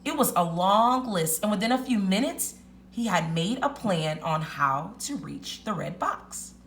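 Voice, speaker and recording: Animated woman reading a children's story. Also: mid-range voice, a little hiss or hum. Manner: deliberate, emphatic storytelling. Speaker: teacher reading aloud. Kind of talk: expressive children's story narration.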